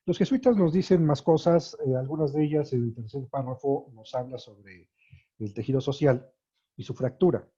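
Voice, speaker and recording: Dark, husky lecturer. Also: male voice, medium pitch, normal speed, dull audio. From Mexico. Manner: thinking aloud with many fillers and restarts.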